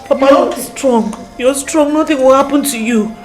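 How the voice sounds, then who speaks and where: A woman's voice: high-pitched, moderate pace, soft background music, close to an everyday voice, rough recording. An actress playing a young woman who pleads and wails over her dying father. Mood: pleading. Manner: pleading, wailing. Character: young woman, grieving devoted daughter. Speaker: daughter.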